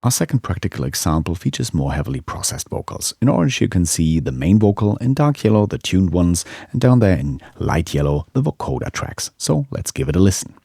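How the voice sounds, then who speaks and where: Low-voiced man narrating an audio tutorial. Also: big pitch swings, a little fast, creaky, few pauses, dark tone, breathy edge. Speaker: audio engineering instructor.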